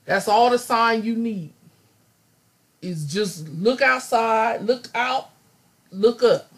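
A woman with a hoarse, raspy voice, still getting over laryngitis, teaching a scripture study lesson over slides and thinking aloud with many ums. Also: on the high side, takes it slowly.

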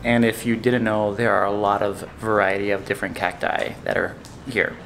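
A man's voice: lowish voice, moderate pace, creaky, faint music behind, low-quality recording. Chatty man vlogging on a desert trail. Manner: relaxed, chatty, explains details to camera. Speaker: vlog host. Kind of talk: casual travel vlog narration. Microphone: camera held at arm's length.

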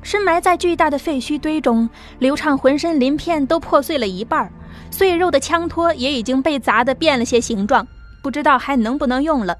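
High-pitched woman narrating a story. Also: fairly quick. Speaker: audiobook narrator. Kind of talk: scripted dramatic story narration. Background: background music.

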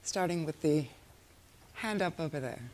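A woman chairing a festival panel, brisk and polished as she takes audience questions. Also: deep voice, darkish tone, a little hiss or hum. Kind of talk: moderating a panel.

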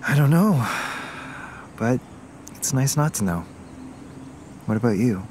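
An actor playing an earnest, playful young Korean heir in love, in a naturalistic audio romance. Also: male voice, medium pitch, lively intonation, a little slow, darkish tone, a little hiss or hum, close to an everyday voice. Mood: playful, relaxed. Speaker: actor in a scripted podcast romance. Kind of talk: naturalistic audio drama acting.

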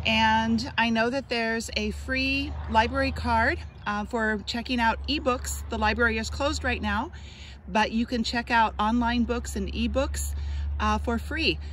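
A woman hosting an outdoor read-aloud for children, speaking to them with deliberate, expressive enunciation. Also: on the high side. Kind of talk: children's story read-aloud and friendly outdoor talk to kids. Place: outdoors on a grassy trail. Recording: outdoor ambient noise.

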